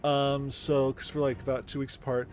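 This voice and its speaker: A man in his forties, the game master, chatting casually and rambling with many pauses. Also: mid-range voice, little pitch movement, a little mellow. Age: 40s. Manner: rambling, hesitant. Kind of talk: casual stream chat.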